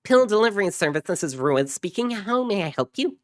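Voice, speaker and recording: A man voicing an excitable, sarcastic, blunt armed man in an audio story. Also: sits high, brisk, no echo, studio quality, pitched above the performer's own voice. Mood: mock-cheery. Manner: mock-cheery, shouting, blunt.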